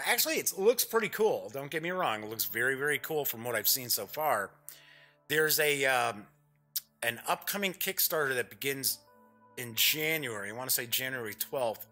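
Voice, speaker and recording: A man's voice: on the high side, bright, forceful tone. A man hosting an online gaming show, talking casually to viewers in a folksy, chatty, rambling way.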